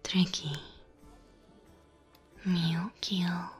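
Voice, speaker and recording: A woman from Slavic Europe giving a soft, breathy, gentle ASMR outro of thanks, often in a whisper. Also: fairly low pitch, little pitch movement, drawn-out words, faint music behind. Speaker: ASMR channel creator.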